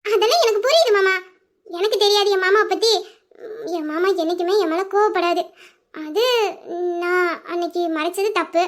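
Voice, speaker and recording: A woman's voice: very high voice, normal speed, pauses often. A woman playing a young woman who coaxes and pleads with her lover in an audio drama. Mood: pleading. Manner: pleading, coaxing, breaking into tears. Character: young woman, coaxing and tearful sweetheart.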